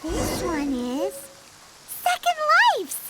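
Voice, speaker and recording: A woman voicing a small, excitable, cheeky childlike fairy companion in a video game, with a squeaky voice and exclamatory delivery. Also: drawn-out words, over loud music, exaggerated voice. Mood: excited. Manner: exclamatory, speaks of self in third person.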